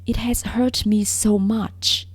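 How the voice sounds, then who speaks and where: A woman's voice: medium pitch, fairly even intonation, slow, breathy edge, dry recording, voice pushed up. A woman reading the part of a delicate young princess in a storybook, complaining in a careful, genteel, plaintive way. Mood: plaintive complaint.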